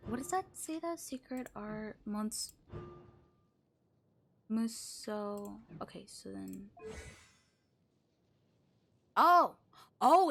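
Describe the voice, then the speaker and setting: A woman live streaming a video game, chatting casually with viewers and thinking aloud in an expressive, exclamatory way. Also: a little high. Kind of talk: casual chat with stream viewers while gaming.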